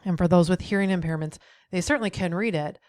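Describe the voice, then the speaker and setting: Low-voiced middle-aged woman with a cold. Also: quick pace, a little mellow, no echo. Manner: encouraging, speaks straight to the viewer with frequent "right?". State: head cold, a bit nasal. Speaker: accessibility trainer. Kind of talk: tutorial video.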